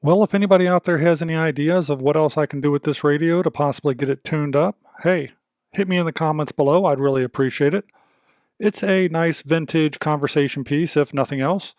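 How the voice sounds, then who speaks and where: A man's voice: fairly high pitch, a little fast, a little mellow, dry recording. A man hosting a casual hands-on demonstration, talking in a plainspoken, folksy way. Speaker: video host demonstrating radio gear.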